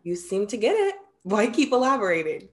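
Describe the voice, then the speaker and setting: A woman acting a lively, swooping-voiced ancient muse. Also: mid-range voice, fairly quick, lightly acted. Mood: playfully proud. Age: young adult. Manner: theatrical, formal wording. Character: spirited young-looking ancient Greek muse woman, proud and playful. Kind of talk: staged play reading. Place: indoor room, video call.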